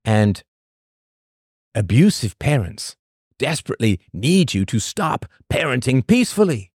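A man hosting a podcast, reading a scripted monologue full of rhetorical questions and emphatic pauses. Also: medium pitch, big pitch swings, a little mellow, husky, dry recording, clean studio sound. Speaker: podcast host.

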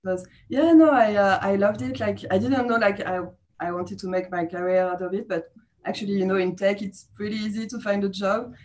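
A woman's voice: medium pitch, fairly quick, darkish tone. A woman in her thirties from France with a French accent, chatting casually as a podcast guest, laughing often and using many fillers. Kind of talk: casual interview chat.

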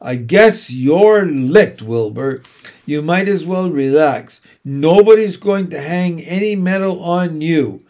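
A man voicing a gluttonous, sneering storybook rat with a hoarse, husky voice and a drawn-out, relishing delivery. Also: fairly high pitch, a little mellow. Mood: snide, taunting. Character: gluttonous, sneering old male barn rat.

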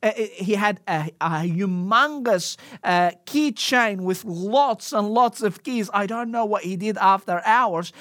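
A man's voice: high-pitched, a bit bright, no echo, studio quality. A man telling a personal story in a casual, hesitant way, full of fillers and restarts, speaking English as a second language. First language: a language other than English.

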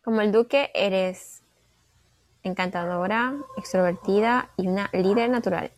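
A woman's voice: mid-range voice, measured pace, dry recording. A woman, chatting casually and talkatively as a podcast co-host, slipping in the odd English phrase. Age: 20s. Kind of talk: casual chat.

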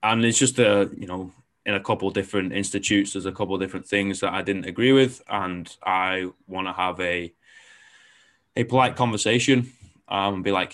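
A man's voice: deep voice, a bit bright. A young man hosting a casual interview, talking frankly and rambling with lots of fillers and the odd swear word. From outside New Zealand. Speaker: podcast host.

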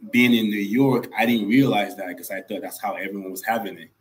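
A man's voice: fairly low pitch, fairly even intonation, fairly quick. A young man from Nigeria, a guest on a podcast, telling his story in an earnest way and repeating points for emphasis. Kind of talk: casual podcast interview.

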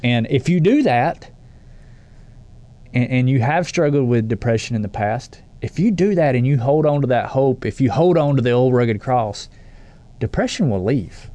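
A man's voice: medium pitch, animated, normal speed, mellow. A man co-hosting a faith podcast, talking in an earnest, emphatic way and often restarting phrases. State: stuffy with allergies. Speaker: podcast co-host.

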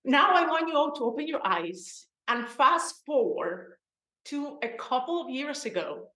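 A woman's voice: high-pitched, sing-song, pushed and bright. Cuban-accented woman in her forties, echoey. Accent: Cuban Spanish. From Cuba. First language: Spanish. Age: 40s. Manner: unhurried, guides listeners through imagined scenes, frequent ums. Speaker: guest speaker to students. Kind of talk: personal storytelling talk. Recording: echoey room.

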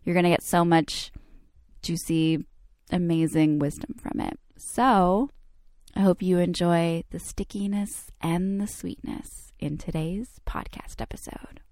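A woman's voice: lowish voice, unhurried, a little mellow, no echo. A woman hosting a podcast, greeting her guest in a gushing, enthusiastic way. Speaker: podcast host.

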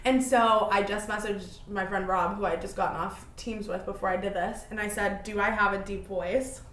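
A woman's voice: medium pitch, steady tone, moderate pace, fairly bright tone, reverberant, slight background noise. A woman, hosting her own podcast and telling rambling, self-deprecating stories in casual chat. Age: 20s. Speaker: podcast host.

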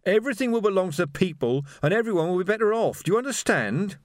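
A man's voice: sits high, lively intonation, fast talker, no echo, clean studio sound, voice pushed up. A man voicing a prim, idealistic revolutionary in an audiobook, preachy and insistent. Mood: exasperated. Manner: preachy, insistent, easily offended. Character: earnest, prim, idealistic male revolutionary organiser.

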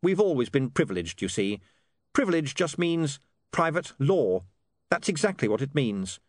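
A man's voice: on the high side, sing-song, moderate pace, no echo, studio quality, pitched above the performer's own voice. A man voicing an earnest, bitter young man of privileged birth in an audiobook, with a clipped, emphatic way of talking.

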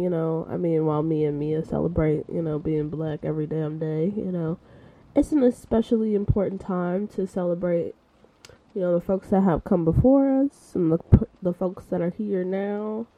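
A woman co-hosting a casual podcast chat, congested and stuffy, playful and quick to laugh. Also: on the low side, poor audio. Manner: laughing, playful, animated asides. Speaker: podcast co-host.

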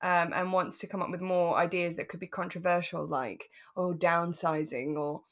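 A woman's voice: lowish voice, steady tone, moderate pace. A British woman chatting casually and enthusiastically as a podcast co-host.

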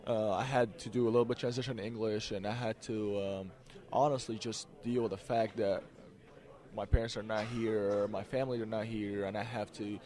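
A young man from Egypt whose first language is Arabic, speaking English softly as a guest in an interview and laughing often. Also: fairly low pitch, a little fast. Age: young adult. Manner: soft-spoken, laughs often, relaxed. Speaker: college basketball player, guest.

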